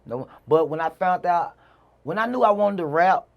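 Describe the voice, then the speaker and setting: A man's voice: high voice, animated, moderate pace, dull audio. A man with a Mississippi Southern accent telling stories casually as an interview guest, in a slangy, repetitive way peppered with "you know". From Mississippi, USA. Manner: slangy, repeats himself, frequent "you know". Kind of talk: casual conversational storytelling.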